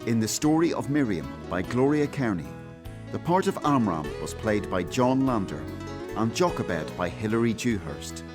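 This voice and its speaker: A man's voice: on the low side, sing-song, normal speed. Male radio announcer for closing credits, varied melody, plucked guitar underneath. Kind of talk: scripted radio drama credits. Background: plucked guitar music.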